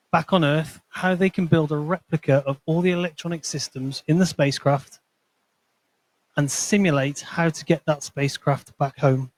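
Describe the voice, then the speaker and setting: A man's voice: fairly high pitch, no room sound, rough recording. Man giving a conference talk. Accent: not Scottish. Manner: explanatory, storytelling with light humor. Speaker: conference speaker, consultancy manager. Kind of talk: presentation to a conference audience.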